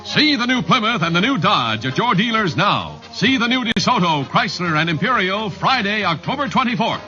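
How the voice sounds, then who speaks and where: A man's voice: sits high, sing-song, moderate pace. A man announcing a car advertisement in 1950s radio style. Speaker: commercial announcer. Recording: muffled vintage radio recording with music.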